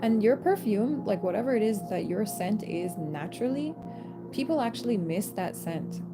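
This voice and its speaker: Chatty woman over loud music. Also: medium pitch, lively intonation, normal speed. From American. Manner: chatty, laughing asides, self-interrupting. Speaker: tarot reader. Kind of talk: intuitive reading to camera.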